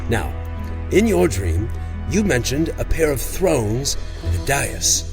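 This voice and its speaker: A man's voice: mid-range voice, slow, over music, pitched above the performer's own voice. A man voicing an eager, earnest and devout male cleric in a fantasy audio drama. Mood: earnest, hopeful. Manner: animated, earnest, exclamatory.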